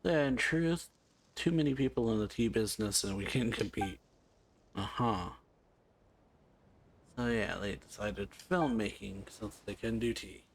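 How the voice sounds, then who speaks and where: A man doing a casual let's play, reading game dialogue aloud in a halting, offhand way. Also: lowish voice, a little hiss or hum. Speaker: let's player. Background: game music.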